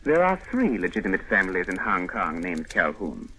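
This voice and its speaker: An actor playing a stiff, formal British colonial police commissioner in an old radio drama, with a clipped, pompous manner. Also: male voice, low-pitched, big pitch swings, normal speed, sharp tone, full character voice. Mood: dry, reproving. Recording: slightly noisy old radio recording.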